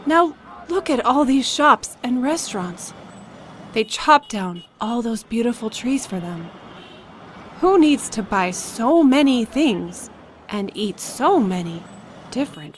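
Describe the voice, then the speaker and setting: A woman voicing a gentle, nostalgic old Japanese grandfather, a storybook street storyteller who murmurs hesitantly to himself. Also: on the high side, a little hiss or hum. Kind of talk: storybook character voice for children.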